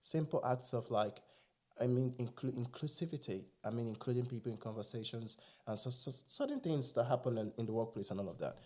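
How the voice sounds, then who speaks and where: A man's voice: mid-range voice, a little fast, a little mellow. A man with a Nigerian accent, whose first language is Igbo, talking earnestly and with animation as a guest in a casual podcast chat.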